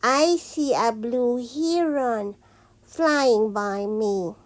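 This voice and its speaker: A woman with a high voice reads a picture book aloud for young children. She goes extremely slowly and deliberately, giving the repeated phrases a sing-song shape. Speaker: teacher reading picture books aloud.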